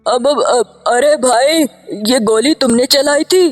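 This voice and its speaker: A woman's voice: high voice, takes it slowly. A woman voicing an eager, naive young male hunter and dutiful son in a cartoon story, earnest and exclaiming, in a reverberant recording with music. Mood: questioning.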